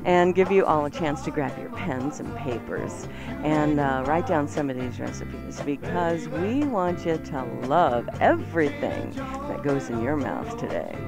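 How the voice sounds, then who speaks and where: A woman's voice: low-pitched, animated, a little slow. An American woman co-hosting a radio show with casual, playful, teasing banter. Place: radio studio. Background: music.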